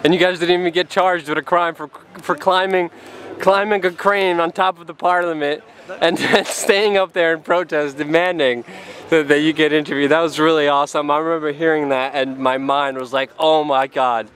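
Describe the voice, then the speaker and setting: A man's voice: fairly high pitch, steady tone, moderate pace, a bit bright. A man doing a casual, enthusiastic vlog interview outdoors on a handheld camera. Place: open park outdoors.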